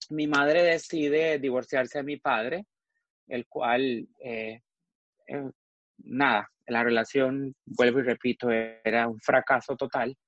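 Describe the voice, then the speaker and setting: Frank, expressive man in his forties. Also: medium pitch, no echo, highs cut off. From Costa Rica. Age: 40s. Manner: expressive, frank, trails off and restarts often. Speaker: guest. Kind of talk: emotional personal storytelling.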